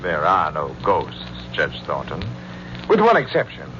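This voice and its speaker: An actor playing a composed, quietly suspicious doctor, speaking in a measured, analytical way in 1940s radio style. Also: male voice, extremely low pitch, huge pitch swings, heavily acted. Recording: muffled, noisy old radio recording.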